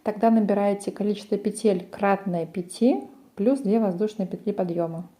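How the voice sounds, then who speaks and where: Woman, very mellow dark timbre, mid-range pitch, tutorial host, methodical step-by-step explanation. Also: moderate pace. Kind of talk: step-by-step craft instruction.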